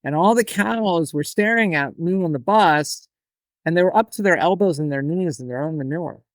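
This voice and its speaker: A man in his forties from the United States speaks as a podcast guest, his voice fairly high for a man and notably smooth and resonant. He tells his story in a relaxed, meandering way. The recording is dry and close. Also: normal speed, no echo. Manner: relaxed, storytelling, some restarts. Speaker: author and farmer guest. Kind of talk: storytelling in an interview.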